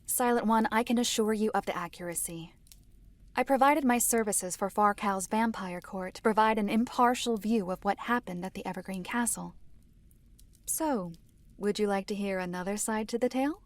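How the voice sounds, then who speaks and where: A woman playing a reserved, composed female storyteller in a fantasy audio drama, speaking in a measured, formal, precise way with a dry, stern edge. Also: medium pitch, no room sound, lightly acted. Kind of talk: fantasy visual novel audio drama acting.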